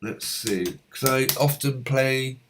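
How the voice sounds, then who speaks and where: A man hosting a live stream, reading out viewers' comments in a halting, rambling way, his voice going hoarse as a cold comes on. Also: mid-range voice, background noise. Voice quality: slightly hoarse. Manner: rambling, halting, many fillers.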